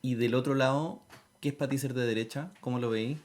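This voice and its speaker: Relaxed Chilean man hosting an interview. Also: mid-range voice, brisk. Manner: relaxed, colloquial, uses local slang. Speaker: interview host. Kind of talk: casual conversational interview.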